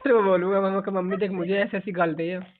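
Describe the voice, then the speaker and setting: A man's voice: high voice, flat delivery, fast talker. A young man chats casually over a phone call, bantering and arguing in a teasing way. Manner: bantering, argumentative. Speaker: younger-generation family member, brother on a call. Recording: tinny narrowband phone call.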